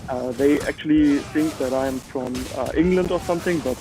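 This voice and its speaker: A man's voice: a little high, moderate pace, noisy background. A man with a German accent, a native German speaker, chatting casually and commenting on his own gameplay with many filler words. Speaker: gamer.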